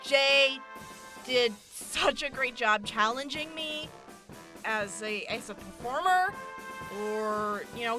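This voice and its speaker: A woman voice actor giving a heartfelt, grateful thank-you, with halting restarts. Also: fairly high pitch. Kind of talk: casual thank-you message.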